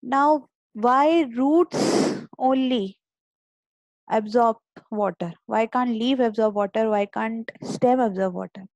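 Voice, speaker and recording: A woman's voice: a little high, slow, darkish tone, no room sound, low-quality recording. A woman teacher giving an online biology lecture, explaining step by step and often repeating or restarting words.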